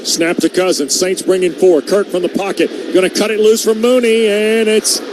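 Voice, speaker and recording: A man's voice: sits high, level tone, normal speed, music in the background, poor audio. A male American radio announcer calling live football play-by-play in short, punchy present-tense phrases. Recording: loud stadium noise behind the voice. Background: stadium ambience.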